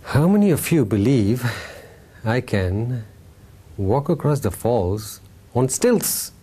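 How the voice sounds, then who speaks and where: Cocky male daredevil as a storyteller puts him on, crowd-rousing and boastful, with a soft husky grain. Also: mid-range voice, sing-song, slow, full character voice. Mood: showy, challenging. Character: cocky daredevil tightrope showman addressing a crowd.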